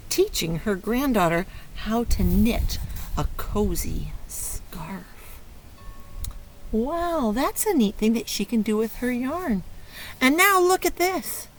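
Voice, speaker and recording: A woman teacher reading a picture book aloud to young children, stopping to ask questions and encourage them in an expressive way. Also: medium pitch, slow, poor audio. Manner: encouraging, praising child listeners; expressive, exclaiming and prompting. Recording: clear background noise.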